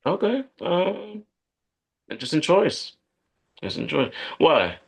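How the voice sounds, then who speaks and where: A man acting a guarded corporate operative on a date in a conversational script read, speaking in a halting, evasive way. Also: fairly high pitch, very animated, vocal fry, slightly rough, exaggerated voice. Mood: bantering, reluctant. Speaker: actor at a live script read. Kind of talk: conversational screenplay table read.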